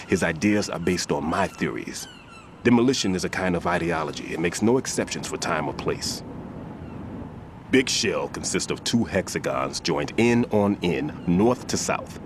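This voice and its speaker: A man playing a methodical, somber veteran bomb disposal expert in a video game cutscene, explaining precisely and gravely. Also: deep voice, expressive, moderate pace, background noise. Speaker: demolition expert giving instructions.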